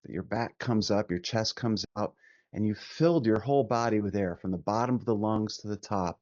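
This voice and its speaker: A man leading a guided meditation as a teacher, speaking gently and soothingly with long pauses between short instructions. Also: on the low side, no echo, muffled recording.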